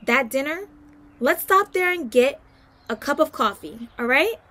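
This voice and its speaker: A woman voicing a plain, observant country wife with gentle, folksy talk in a storybook reading. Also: high-pitched, expressive, unhurried. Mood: reflective.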